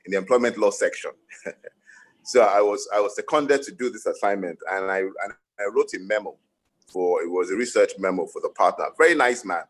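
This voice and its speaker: A middle-aged man from Nigeria, a lawyer speaking on a panel and telling reflective stories with frequent restarts. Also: fairly low pitch. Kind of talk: panel discussion.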